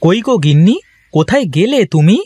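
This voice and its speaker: Cartoon village husband, kind n earnest, bit high for a man, raspy edge. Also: a little fast, no room sound. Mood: cheerful. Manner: expressive, earnest, cajoling. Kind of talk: Bengali moral-story cartoon dubbing.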